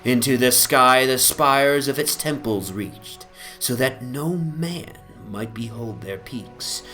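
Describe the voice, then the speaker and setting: A man narrating literary fantasy as a dramatic audiobook reading, in a measured, solemn and theatrical manner. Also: medium pitch, animated, bright, forceful tone. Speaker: narrator, reader. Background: atmospheric music.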